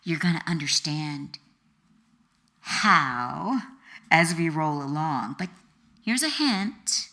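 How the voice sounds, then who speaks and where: A woman author reading her own memoir as an expressive audiobook narrator, with a dramatic, deliberate delivery and emphatic pauses. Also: low voice.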